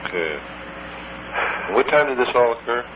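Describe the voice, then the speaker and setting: A man's voice: mid-range voice, lively intonation, moderate pace. A man taking a report on a hotline over a taped phone line, brief, polite and businesslike. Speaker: hotline call taker. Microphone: telephone. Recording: distant muffled noisy taped phone line.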